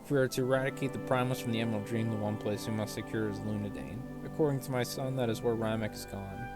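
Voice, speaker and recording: A man's voice: fairly low pitch, fairly even intonation, fast talker. A man doing casual playthrough commentary on a game, reading quest text aloud and tossing in offhand remarks. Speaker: gaming video maker. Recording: game music behind the voice.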